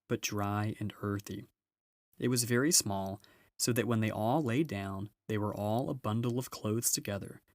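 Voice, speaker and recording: Low-voiced man reading a storybook, dry sound. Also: no echo. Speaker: audiobook reader. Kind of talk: children's storybook narration.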